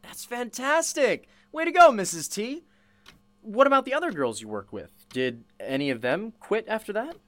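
Actress playing woman interviewer, radio play, curious, informal, animated intonation, dry close recording. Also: medium pitch, normal speed, no echo, close to an everyday voice. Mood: amazed, playful. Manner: casual, reactive questioning.

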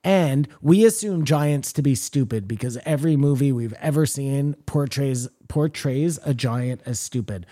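Podcast co-host, male, dark mellow voice, loose speculative rambler. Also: medium pitch, measured pace, few pauses. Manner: rambling, speculative, swears freely. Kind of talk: casual chat.

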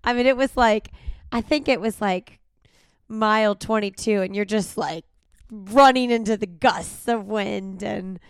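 A woman hosting a podcast, raspy from a cold, chatting casually and laughing often. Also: mid-range voice, a little slow, no room sound. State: recovering from a cold. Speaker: podcast host. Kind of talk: casual interview chat.

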